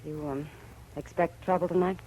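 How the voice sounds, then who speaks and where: A woman playing a worldly, watchful saloon woman in a 1950s radio western, speaking in a confiding, low-key way. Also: fairly even intonation, moderate pace, warm tone, natural voice. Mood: casual. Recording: noisy vintage radio recording, room ambience.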